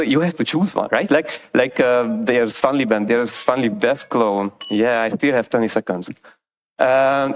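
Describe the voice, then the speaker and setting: A man giving a technical conference talk in halting, choppy phrases. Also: mid-range voice. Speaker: conference lightning talk speaker. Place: conference stage, indoors.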